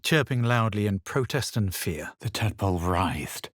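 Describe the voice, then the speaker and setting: A man playing a self-assured, wary fantasy adventurer in a video game, speaking in a measured, deliberate way. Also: on the low side, expressive, no echo, clean studio sound. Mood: measured narration. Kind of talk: video game voice lines, narration and barks.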